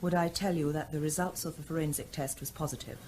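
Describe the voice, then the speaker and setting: Low-voiced woman doctor, acted. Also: fairly even intonation, fast talker, a little mellow, a little hiss or hum, natural voice. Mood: courteous. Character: calm, courteous female hospital doctor. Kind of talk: British radio drama acting.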